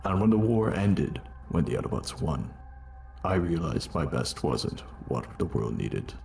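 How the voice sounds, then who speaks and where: A man voicing a weary, noble robot war commander in a cartoon fan dub, grave, measured and earnest. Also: sits low, big pitch swings, gravelly, a little mellow, slight background noise, full character voice. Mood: solemn. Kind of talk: dramatic first-person narration in a cartoon fan dub.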